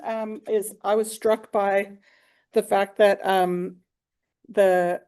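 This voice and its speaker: Woman, mellow tone, encouraging facilitator, informal closing remarks. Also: medium pitch. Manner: appreciative, encouraging. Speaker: session facilitator. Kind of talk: informal closing reflections at a conference. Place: conference hall, live talk.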